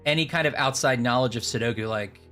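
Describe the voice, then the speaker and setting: A woman's voice: extremely low pitch, fast, a bit bright, soft background music. A woman chatting casually on a game livestream, musing and thinking aloud. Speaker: game streamer.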